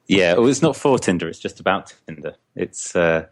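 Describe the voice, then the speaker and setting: A young man from Scotland, a comics artist answering interview questions in a casual, halting way, full of restarts and fillers. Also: low voice, lively intonation, slight background noise.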